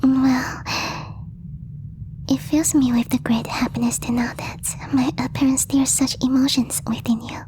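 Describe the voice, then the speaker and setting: A woman's voice: high voice, dark tone. Woman as a whispering, possessive alien girl. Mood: pleased, possessive. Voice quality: breathy, whispered. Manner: slow, deliberate, stiffly formal non-native phrasing. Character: possessive lovestruck alien girl, stilted speech, shyly obsessive. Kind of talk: whispered ASMR audio roleplay.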